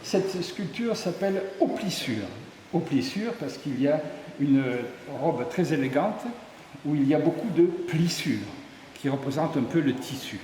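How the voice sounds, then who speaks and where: Man, middle-aged, slightly high voice, sculptor's explanatory interview talk, reverberant room. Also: lively intonation, measured pace, warm tone. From Ardèche, southern France. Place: indoors in an exhibition room at a tourist office. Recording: echoey reverberant hall, faint background noise.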